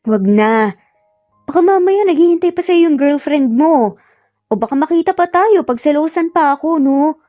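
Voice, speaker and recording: A woman acting a caring, teasing young mother, soft-toned. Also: sits high, lively intonation, moderate pace, no echo, highs cut off, soft background music, voice pushed up. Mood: guarded, prickly.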